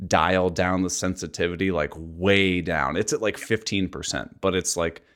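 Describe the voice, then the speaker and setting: A man's voice: deep voice, steady tone, moderate pace, a bit bright. A man co-hosting a podcast, chatting casually and rambling with lots of "like", recorded close on a voice mic in an apartment. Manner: rambling, heavy use of "like". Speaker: podcast co-host. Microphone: voice mic a couple inches from mouth.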